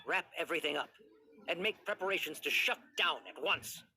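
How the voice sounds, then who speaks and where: A man's voice: fairly high pitch, expressive, a little fast, pushed and bright, full character voice. A man playing a cold, pompous middle-aged scholar official in a video game, curt and dismissive.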